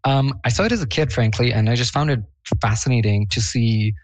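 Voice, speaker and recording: A man's voice: medium pitch, fairly quick, no room sound, rough recording. A man from Bosnia and Herzegovina, a guest on an interview, talking casually and enthusiastically with lots of fillers. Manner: enthusiastic, chatty, laughs often, many fillers. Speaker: guest, Eurovision fan.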